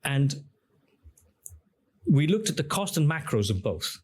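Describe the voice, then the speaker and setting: Husky-voiced man explaining at length. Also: a little high, big pitch swings, a little slow. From Greek-speaking. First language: Greek. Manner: expansive, explains with questions and examples. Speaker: podcast guest, engineer and app founder. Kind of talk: conversational interview.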